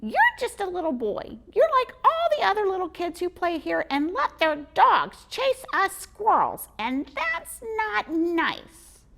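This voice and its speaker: A woman voicing an angry little male squirrel with a squeaky voice. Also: wildly swooping pitch, a little slow, vocal fry, few pauses, slight background noise, full character voice. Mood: indignant. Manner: indignant, shouting.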